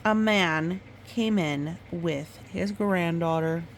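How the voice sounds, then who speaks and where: A woman's voice: fairly low pitch, a little hiss or hum. A woman reading a children's story aloud, storytime style, with chatty asides about the pictures. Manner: expressive, pauses to comment on the pictures. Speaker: storytime reader.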